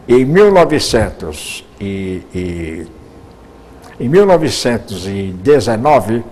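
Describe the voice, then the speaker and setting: A man's voice: mid-range voice, darkish tone, slight background noise. An older Brazilian man, a radio broadcaster, answering interview questions at length, often repeating words as he searches for them.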